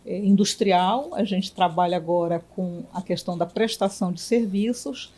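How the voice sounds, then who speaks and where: A woman from Belém, a physician and union director, answering interview questions in a reflective way with many pauses and restarts. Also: fairly low pitch, warm tone. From Belém, Brazil.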